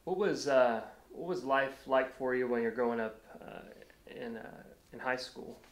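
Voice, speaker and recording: A man interviewing for an oral history, asking loosely phrased questions in a halting, conversational way. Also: medium pitch. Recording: echoey room with faint noise.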